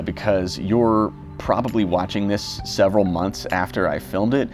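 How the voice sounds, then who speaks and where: A young man talking casually about his motorcycle trip, relaxed and rambling with fillers and self-corrections. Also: lowish voice. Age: young adult. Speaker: motorcycle vlogger. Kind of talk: casual reflective vlog talk.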